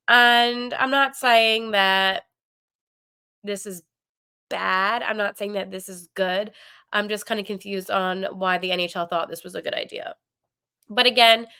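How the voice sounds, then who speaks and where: A woman's voice: on the high side, pushed and bright, clean tone. A woman hosting a sports podcast, chatting with opinions in a congested, nasal voice from a cold, with frequent ums and likes. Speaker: podcast host.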